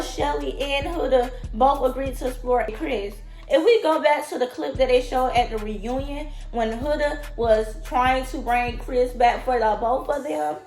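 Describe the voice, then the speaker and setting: A woman who is a little sick and sounds raspy, giving blunt, emphatic opinions about a reality show straight to her viewers. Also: high voice. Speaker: reality TV commentator. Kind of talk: opinionated vlog commentary. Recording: echoey room with loud music.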